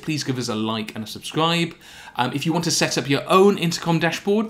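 A man in his thirties giving a scripted explainer, step by step, with deliberate emphasis. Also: mid-range voice, fairly bright tone. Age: 30s. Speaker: presenter of a software tutorial. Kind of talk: scripted explainer narration to camera.

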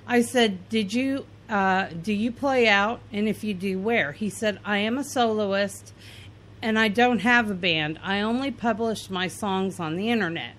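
A woman's voice: medium pitch, a little slow, a bit bright. A woman podcast host from Indiana, reading aloud both sides of an interview in a plain, earnest way with some fillers and restarts.

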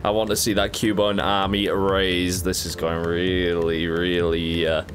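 A man's voice: deep voice, level tone, measured pace. A man in his thirties hosting a casual gameplay stream, joking and laughing often while he has a cold. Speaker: gaming channel host.

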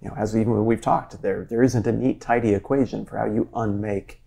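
A man in his forties interviews a guest with a gravelly, somewhat husky low voice. He talks earnestly and thinks out loud as he frames his questions, recorded close in a quiet library room. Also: a little fast. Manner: earnest, searching, animated with hands. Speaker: interviewer, show host. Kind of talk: conversational interview. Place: wood-panelled library with bookshelves.

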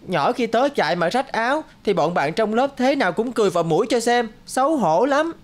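A woman's voice: fairly high pitch, big pitch swings, a little fast, slight background noise. A woman voicing a good-natured, loyal schoolboy in a cartoon, with a casual youthful manner. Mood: friendly chatter. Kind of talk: cartoon voice acting.

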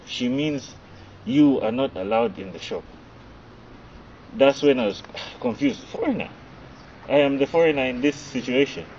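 A young man with a Zambian accent, recounting an incident in a hesitant, halting way, outdoors in a garden. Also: mid-range voice. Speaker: interviewee. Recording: lo-fi outdoor sound with noise.

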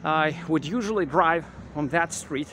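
A man in his thirties guiding a casual walking tour on a quiet residential street, talking with many fillers and restarts into a handheld camera mic. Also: on the high side, measured pace. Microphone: handheld camera mic while walking. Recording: clear outdoor street noise.

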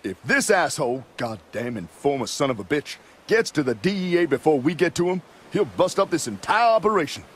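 An actor playing a gruff, foul-mouthed male criminal associate in a video game cutscene. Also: fairly high pitch, very animated, brisk, hardly any pauses. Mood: agitated.

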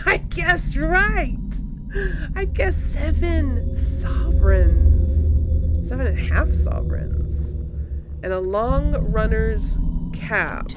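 Animated woman gamer over loud game noise. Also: a little high, slow. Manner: thinks aloud, chatty. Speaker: gaming video host. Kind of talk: casual let's play commentary. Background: fantasy video game sound.

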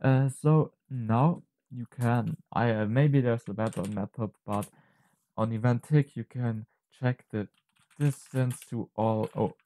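A man presenting an unscripted software tutorial, explaining step by step in a halting, hesitant way. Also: medium pitch.

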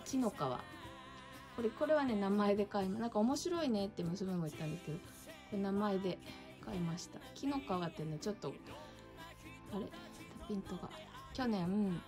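A middle-aged woman chats in a loose, rambling way while showing off her plants indoors. Her voice sits in a middle range. Music plays softly in the background. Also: medium pitch. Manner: chatty and meandering, showing plants to camera. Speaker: succulent channel vlogger. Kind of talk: casual chat. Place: indoor entryway with a shelf.

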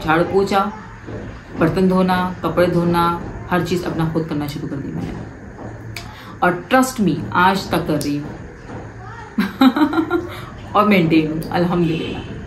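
A woman's voice: fairly low pitch, echoey room, noisy background. A woman, giving direct, coaxing advice to viewers in a casual vlog from her living room. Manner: animated, gestures a lot with both hands. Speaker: vlogger. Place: living room, seated on a sofa.

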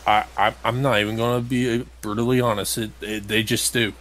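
A man's voice: on the low side, little pitch movement, brisk, fairly bright tone. A man playing a video game and commentating casually, with blunt, grumbling remarks. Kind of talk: casual gameplay commentary chat.

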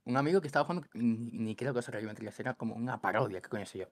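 A man's voice: medium pitch, rapid-fire, dry recording, clean studio sound. A man born in Cuba, a guest on a casual podcast, rambling and dropping slang like loco and brother. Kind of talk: casual chat.

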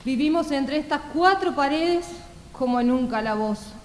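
A woman's voice: sits high, lively intonation, normal speed. A woman playing a restless, apologetic female office clerk full of longing in a radio play. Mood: wistful. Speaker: amateur radio theatre actor. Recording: echoey hall, faint noise, clicks.

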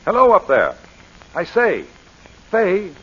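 Husky, lilting lovestruck English lord. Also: male voice, high-pitched, huge pitch swings, slow pace, fairly bright tone, full character voice. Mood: playful, coaxing. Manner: flowery, wry, self-mocking. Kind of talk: 1940s radio acting. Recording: muffled vintage 1940s radio recording.

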